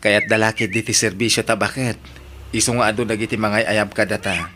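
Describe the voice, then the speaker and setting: A man's voice: fairly low pitch, level tone, a little fast, bright, forceful tone. A man acting a jealous, hurt middle-aged husband and doting father in a Filipino-accented radio drama, emotive and pleading. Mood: eager, coaxing. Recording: noisy radio drama recording.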